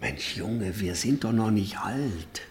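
A man's voice: fairly low pitch, expressive, takes it slowly, echoey room. An actor playing a jovial old friend in his fifties on a hospital visit, talking in a jokey, colloquial way in a naturalistic radio play. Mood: wry, bantering. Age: 50s.

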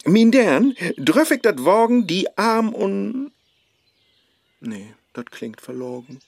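An actor playing a clumsy, lovestruck male scholar who chases a woman, speaking with a Low German accent in a bumbling, eager, theatrical way, in a radio play. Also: sing-song, slow pace, vocal fry, darkish tone, no echo, studio quality, heavily acted. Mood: flustered.

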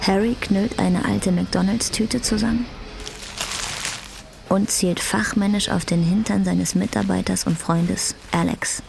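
A woman's voice: medium pitch, steady tone, a little slow, creaky, noisy background. A woman reading scripted narration for a radio drama. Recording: guitar music behind the voice. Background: guitar music.

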